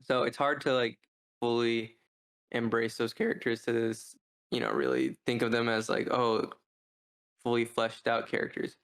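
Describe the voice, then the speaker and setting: A man co-hosting a podcast, talking through a book review in a casual, rambling, halting way as he thinks out loud. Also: mid-range voice. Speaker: podcast co-host.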